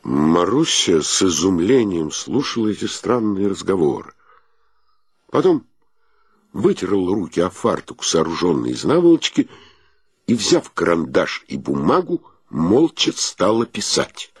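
An actor narrating a comic literary tale as a wryly solemn storyteller in a radio play. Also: male voice, low-pitched, sing-song, measured pace, slightly rough, no room sound, clean studio sound, exaggerated voice. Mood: measured storytelling.

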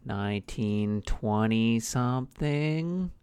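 A man's voice: medium pitch, lively intonation, slow pace, a little mellow, smooth, dry recording. A young man co-hosting a casual movie podcast, dry and matter-of-fact as he recaps a plot. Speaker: podcast co-host.

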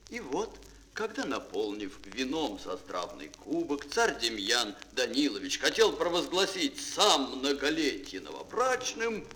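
A man narrating a fairy tale for children in a theatrical, grand, declamatory style with dramatic pauses. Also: a little high, fairly bright tone, low-quality recording. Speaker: storyteller. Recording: mono vintage children's audio record.

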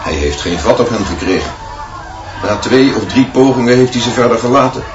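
An actor playing a knowing schoolteacher in a radio play, explaining things emphatically. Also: male voice, medium pitch, lively intonation, fairly quick, natural voice. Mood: knowing, emphatic. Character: male schoolteacher, knowing admirer of the headmaster's system. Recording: distant, echoey, dull, crowd and music behind.

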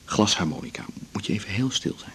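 An actor playing a gentle, dreamy man in a radio drama, coaxing and unhurried. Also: lowish voice, sing-song, a little hiss or hum. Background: music.

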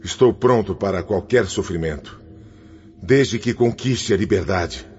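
A man's voice: on the low side, unhurried, close to an everyday voice. A male actor with a Brazilian accent playing a proud, rebellious spirit prisoner in a radio drama, theatrical and defiant. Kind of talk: radio drama acting in Portuguese. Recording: dull lo-fi audio with loud music.

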